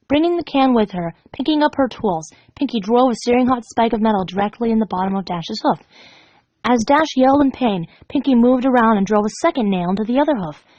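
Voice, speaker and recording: A woman's voice: on the high side, a little mellow. A woman reading a story aloud as an amateur narrator, dramatic, now and then stumbling over the words. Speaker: fan fiction reader. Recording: dull, echoey home recording.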